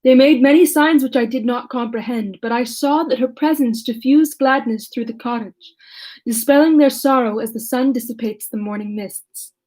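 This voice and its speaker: A woman reading aloud as a lonely male creature who tells his own story in a measured, formal way. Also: on the high side, darkish tone, close to an everyday voice. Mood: earnest. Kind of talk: reading a classic novel aloud.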